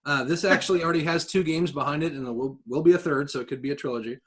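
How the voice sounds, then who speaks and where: A man co-hosting a podcast, talking in an enthusiastic, informal way as he pitches his ideas. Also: racing, fairly bright tone. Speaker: podcast co-host. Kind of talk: casual podcast chat.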